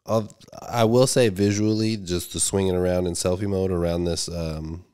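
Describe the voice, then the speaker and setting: A man's voice: low voice, steady tone, mellow, clean tone. A man in his thirties with a stuffy, nasal voice, reacting with awe to a song in his home studio. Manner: awed exclamations between pauses. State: sick, nasal. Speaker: reaction video host. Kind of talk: music reaction commentary. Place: small home studio room, indoors. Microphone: studio mic on boom arm, headphones. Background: song playing.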